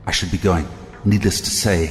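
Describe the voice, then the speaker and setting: An actor playing an embittered, silky and calculating royal elder brother in an audio drama, with a deliberate, commanding, theatrical delivery. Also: male voice, low voice, fairly even intonation, natural voice. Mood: persuasive, sly. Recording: long reverberant hall, music behind.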